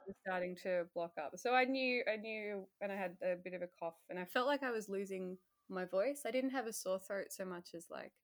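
A woman, a healthcare worker telling her story in a casual, animated interview and acting out her own earlier remarks. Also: medium pitch, fairly quick, few pauses, no echo, studio quality.

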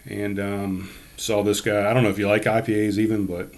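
A man hosting a casual podcast from his home studio, talking with a big, projecting voice and a rambling, relaxed flow. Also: lowish voice, a little fast. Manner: loud, projecting voice. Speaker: podcast host. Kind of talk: casual chat. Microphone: studio mic on a board.

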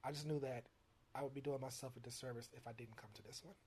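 Soft-spoken man, hesitant. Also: mid-range voice, steady tone, quick pace, slight background noise. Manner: hesitant, many fillers and restarts. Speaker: music producer guest. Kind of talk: casual interview.

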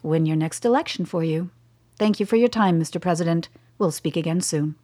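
A woman's voice: fairly low pitch, brisk, warm tone, natural voice. Gabriella, a woman playing a cool controlling scientist. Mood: poised. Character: cool, controlling middle-aged female scientist. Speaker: actor. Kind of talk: radio play acting.

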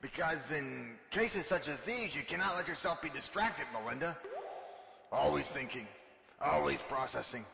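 In a reverberant, slightly dull recording with music behind, a man plays a cocky secret agent with a creaky voice. He is pompous and flirtatious, halting as he brags his way through a radio drama. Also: a little high, a loud crowd. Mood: self-important. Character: boastful, flirtatious male secret agent, a vain bumbler. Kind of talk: amateur radio drama acting. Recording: echoey hall, dull sound, music behind.

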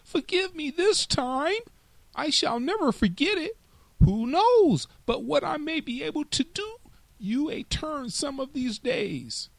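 Man putting on a high-pitched timid little mouse. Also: very animated, slow, creaky, dry recording, heavily acted, rough recording. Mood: frightened pleading. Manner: pleading, earnest. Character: tiny timid pleading male storybook mouse.

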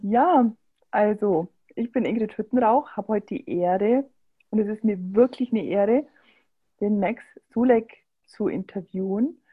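A woman's voice: mid-range voice, measured pace, husky, phone-quality sound. A woman interviewing a guest in a casual talk, appreciative and enthusiastic, with some fillers.

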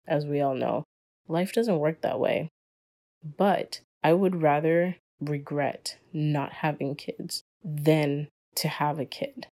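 A woman's voice: deep voice, mellow. A young woman hosting a solo podcast episode, talking in an earnest, opinionated way as she explains her views. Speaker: podcast guest host.

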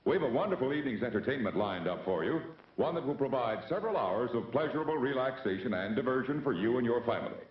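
A man with an American accent makes a vintage promotional announcement, genial and showy with lively intonation. The old recording is dull, echoing and slightly distant. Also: mid-range voice, fast, hardly any pauses. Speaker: drive-in theater announcer.

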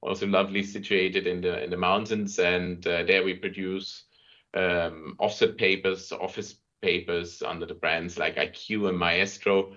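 A middle-aged man with a German accent, a native German speaker, answering business interview questions in halting phrases. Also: deep voice, flat delivery, fairly bright tone, dull audio. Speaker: interview guest, marketing and sales director.

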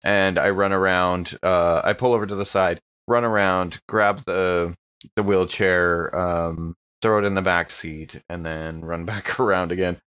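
Dull lo-fi mic, lowish guy gamer chatting and playing his character casually. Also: fairly even intonation, a little slow, a bit bright, clean tone. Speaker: player in a tabletop roleplaying game. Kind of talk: casual chat and in-character play. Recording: dull, lo-fi sound.

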